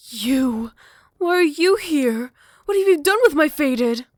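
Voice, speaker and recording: A woman's voice: high voice, expressive, slow pace, no echo. A woman voicing a possessive female vampire queen in an ASMR roleplay, moving from close, tender whispers to furious threats. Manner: soft close whispers turning to furious shouting. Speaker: voice actor.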